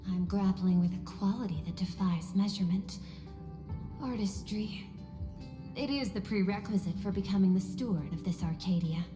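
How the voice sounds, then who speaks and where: A woman playing a coolly logical female machine steward in a video game, speaking formally and precisely with measured detachment. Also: medium pitch, lightly acted. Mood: clinical, detached. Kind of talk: scripted video game voice acting. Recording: echoey hall reverb, music behind.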